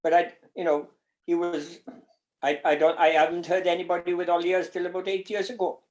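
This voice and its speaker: A man in his seventies talks as an interview guest. He recalls things casually, in a halting way, often repeating a word or phrase before carrying on. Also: high voice, level tone, low-quality recording. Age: 70s. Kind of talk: casual recollection in conversation.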